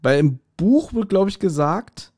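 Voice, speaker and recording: A man's voice: sits high, expressive, a little slow, dark tone. A man chatting casually as a podcast host, retelling stories informally. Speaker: podcast host reviewing audio dramas. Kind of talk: casual chat.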